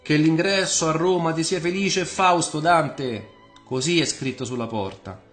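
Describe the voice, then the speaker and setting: A man's voice: on the high side, normal speed, fairly bright tone, pitched above the performer's own voice, lightly acted. Man playing fervent Roman patriot Nino, echoing over music. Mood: solemn, welcoming. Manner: vivid, emphatic storytelling in colloquial speech.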